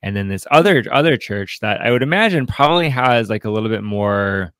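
Man, lower-pitched voice, dry sound, podcast host, casual discussion, halting delivery, repeated words. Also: lively intonation, fairly bright tone, no echo.

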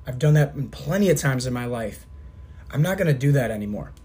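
A man's voice: medium pitch, animated, a little fast, dark tone. A man in his thirties, a coach hosting his podcast, giving an earnest motivational monologue with a scratchy, failing voice. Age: 30s. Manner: earnest, repetitive, coach-like pep talk. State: scratchy throat, losing his voice. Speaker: podcast host and fitness coach.

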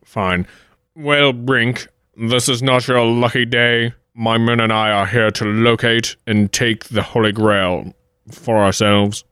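A man playing a suave, scheming German villain with a measured, theatrical delivery in a 1940s-style radio play. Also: medium pitch, fairly even intonation, a bit bright, clean tone, dry recording, studio quality. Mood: smug menace.

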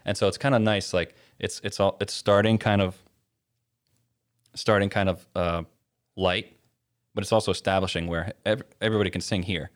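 A man's voice: low voice, steady tone, moderate pace, pauses often, clean tone. A man who leads worship, explaining in a casual, hesitant way with many fillers. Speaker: worship leader. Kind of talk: casual conversation.

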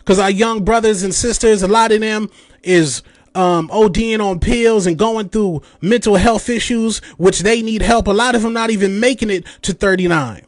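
Late-thirties male podcast host, high-pitched, dry close mic, angry profane monologue. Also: normal speed, dry recording. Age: 30s. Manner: heated, profane, mocking rant with mimicked quotes. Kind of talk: ranting commentary.

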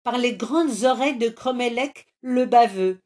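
A woman voicing gruff, greedy male troll monsters in a storybook reading, shouting threats. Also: a little high, a little slow, voice pushed up, lightly acted. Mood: startled. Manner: loud snarling threats.

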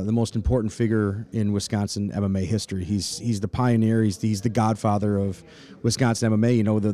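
A man's voice: fairly low pitch, dark tone. A forty-year-old man from Michigan, a fight matchmaker answering interview questions in a chatty way, full of "you know". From Michigan, USA. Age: 40s. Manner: talkative, many fillers like "you know".

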